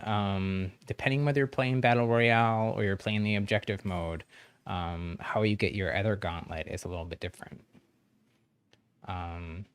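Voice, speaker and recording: Male livestreamer, lowish pitch with plenty of vocal fry, friendly and encouraging, casual explainer for new players. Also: no room sound. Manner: chuckles often while talking. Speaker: game streamer. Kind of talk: casual livestream chat explaining a game to newcomers.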